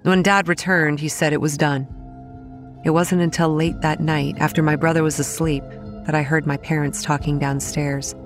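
An actress playing a haunted young woman, narrating childhood horrors in a solemn, deliberate first-person storytelling style. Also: low-pitched, flat delivery, faint music behind, lightly acted.

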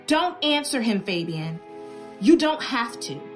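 A defiant, high-pitched, hot-tempered woman. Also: very animated, unhurried, faint music behind. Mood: suspicious. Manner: blunt, combative. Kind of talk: old time radio style horror audio drama.

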